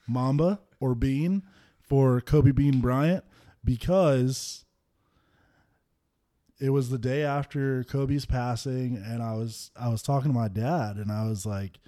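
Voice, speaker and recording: Relaxed man with a dark voice. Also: medium pitch. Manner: laid-back, storytelling, laughs easily. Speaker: podcast co-host, football player. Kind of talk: casual chat.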